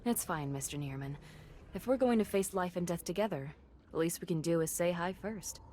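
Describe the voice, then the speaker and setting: A woman's voice: on the low side, brisk, dark tone, slight background noise, natural voice. A woman playing a confident, businesslike investigator in a video game, matter-of-fact and self-assured. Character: confident, businesslike female investigator with a dry edge. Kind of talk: scripted video game cutscene acting.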